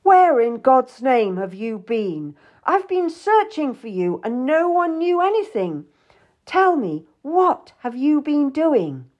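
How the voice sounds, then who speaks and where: Woman doing an angry cold guy in an audiobook, stern n accusing, swingy pitch, takes it slow. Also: sing-song, dull audio. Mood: furious. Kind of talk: dramatised audiobook dialogue.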